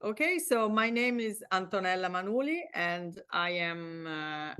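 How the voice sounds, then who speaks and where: A middle-aged Italian woman speaks slowly and thoughtfully, her voice sitting a little low. Her Italian accent colors her English, and she pauses often, restarting phrases as she searches for words. The sound is close and dry, with no room around it. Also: animated, bright, forceful tone, clean tone, dry recording. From Italy. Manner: hesitant, many fillers and restarts. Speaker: winery founder, interview guest. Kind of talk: casual interview conversation.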